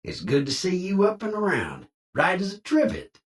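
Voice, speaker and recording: An actor voicing a cheerful cowboy robot greeter in a video game, with a folksy American cowboy drawl and hearty Old West slang. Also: male voice, sits high, huge pitch swings, moderate pace, far from the mic. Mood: chummy, boastful. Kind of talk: video game character lines.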